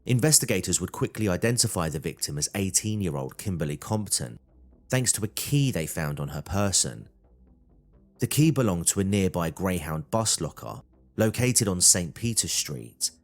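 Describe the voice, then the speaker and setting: Very deep-voiced male narrator. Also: very animated, moderate pace, soft background music. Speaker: true crime narrator. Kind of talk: scripted documentary narration.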